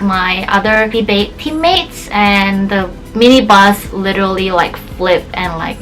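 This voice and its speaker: A woman's voice: mid-range voice, fairly bright tone, poor audio. A young woman in her twenties, raised in Indonesia and a native Indonesian speaker, chatting casually to her camera about herself with lots of "like" fillers. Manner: chatty, bubbly, many "like" fillers. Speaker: beauty vlogger.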